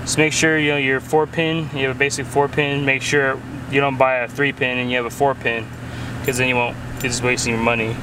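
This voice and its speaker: A man's voice: medium pitch, flat delivery, a little fast. A man giving casual hands-on tutorial talk, explaining step by step with informal caveats. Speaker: friend demonstrating a CB radio install. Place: in and around a parked pickup truck. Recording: distant mic, clear noisy vehicle background.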